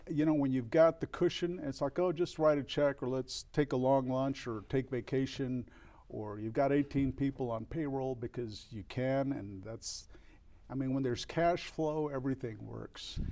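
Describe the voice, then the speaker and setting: An older man from El Paso, a record store owner, speaking as an interview guest in a reflective, earnest way, with frequent restarts and pauses. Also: mid-range voice, a little mellow. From El Paso, Texas. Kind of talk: candid interview conversation.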